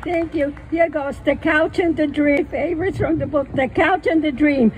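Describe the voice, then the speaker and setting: A woman's voice: high-pitched, fairly even intonation, normal speed, a little hiss or hum. An older woman reading her own poems with friendly, plain-spoken asides on a small outdoor stage, using a stage microphone on a stand. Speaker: poet. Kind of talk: poetry reading with chatty asides. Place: small outdoor stage under trees at a festival.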